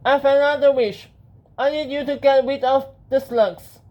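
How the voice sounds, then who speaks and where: Man voicing a high, earnest young boy. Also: steady tone, measured pace, a little mellow. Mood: polite, insistent. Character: young boy, eager, anxious, polite. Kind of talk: children's audiobook character voice.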